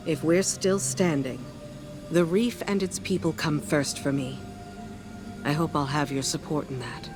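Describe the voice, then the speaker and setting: War-weary female commander for a sci-fi game: firm, grave, clipped, over prominent music. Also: sits low. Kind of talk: scripted game dialogue.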